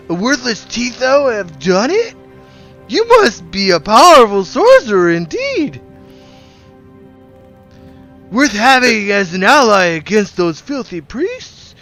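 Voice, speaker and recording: A man voicing a stoned, haughty game villager who speaks in a drawn-out, grand and dismissive way. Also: high-pitched, a bit bright, pitched above the performer's own voice, heavily acted. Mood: incredulous, haughty. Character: slow, stoned male game villager, grudgingly impressed, haughty.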